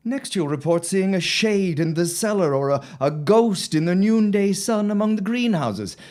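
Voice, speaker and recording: A woman's voice: medium pitch, lively intonation, normal speed, a little mellow, natural voice. A woman playing a jovial, skeptical middle-aged husband of the 1910s in an audio drama, with a grandiloquent, teasing manner. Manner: grandiloquent, old-fashioned, teasing. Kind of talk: period audio drama acting.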